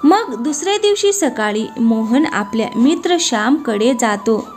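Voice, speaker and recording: Female narrator, high pitch, scripted storytelling, cartoon tale, faint music underneath. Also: expressive, unhurried, darkish tone.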